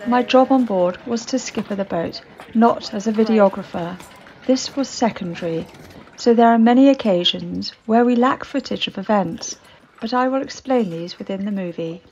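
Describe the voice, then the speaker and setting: A woman tells the story of an ocean voyage in calm, measured voice-over. Her voice sits a little high, and there is a faint hiss under it. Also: dull audio. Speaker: skipper and narrator. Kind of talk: scripted voice-over narration.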